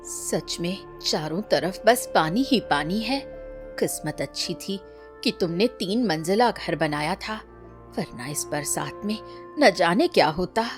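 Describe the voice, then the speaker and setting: A woman playing a gentle, caring, cheerful family woman in a cartoon story, sweet in manner. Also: fairly low pitch, animated, slow, faint music behind. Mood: admiring.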